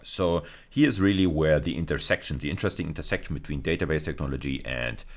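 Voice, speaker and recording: A man giving a technical video lecture, explaining step by step in a halting way with frequent self-corrections. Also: very deep voice. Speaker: university lecturer.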